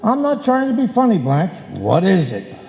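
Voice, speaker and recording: A man acts as a weary husband in a bickering radio comedy. He grumbles and complains with exasperation in a fairly high, softened voice. The live stage recording is very echoey. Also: huge pitch swings, moderate pace, heavily acted. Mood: grumbling. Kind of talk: old-time radio comedy acting, performed live. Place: indoor stage before a live audience.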